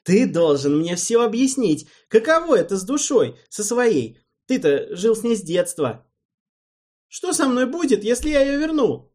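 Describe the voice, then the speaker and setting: A man's voice: sits high, lively intonation, measured pace, few pauses, pitched above the performer's own voice. A man voicing a hysterical, spiteful young male king who throws childish tantrums. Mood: furious. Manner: shrieking, petulant, childish tantrums.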